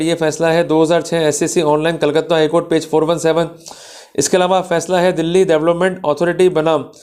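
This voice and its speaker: A man's voice: fairly high pitch, a little mellow. A man with an Indian accent giving an explanatory law lecture in an emphatic, didactic manner, mixing in English terms.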